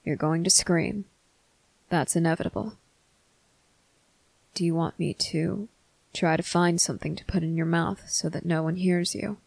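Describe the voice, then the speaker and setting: A performer playing a stern, protective male vampire officer in an ASMR roleplay, speaking deliberately and sometimes hushed, with a commanding manner. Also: mid-range voice, vocal fry, no room sound, exaggerated voice, poor audio. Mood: quiet, reassuring. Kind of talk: ASMR roleplay addressed to the listener.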